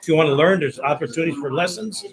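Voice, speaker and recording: A man hosting a casual live talk show, slightly hoarse and losing his voice, upbeat and encouraging with his guests. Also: a little high, rapid-fire, crowd noise, poor audio. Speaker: show host.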